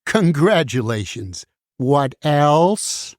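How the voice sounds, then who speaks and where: A woman's voice: deep voice, very animated, very slow, darkish tone, no room sound, studio quality, heavily acted. Woman as a dramatic, swooping doomed book. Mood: pressing, sardonic. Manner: dramatic, urgent, interrogating. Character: frantic, world-weary banned book, burned and warning others. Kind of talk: musical puppet show acting.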